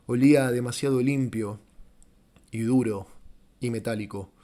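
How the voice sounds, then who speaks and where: A man's voice: mid-range voice, measured pace, mellow. A man narrating a literary short story as an audiobook, in a scripted, dramatic storytelling style.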